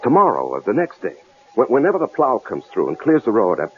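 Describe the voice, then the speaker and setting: An actor playing a frightened, pleading husband held captive in a radio drama, stammering and repeating himself. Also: male voice, mid-range voice, huge pitch swings, quick pace. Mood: nervous, pleading. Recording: tinny, noisy old radio recording.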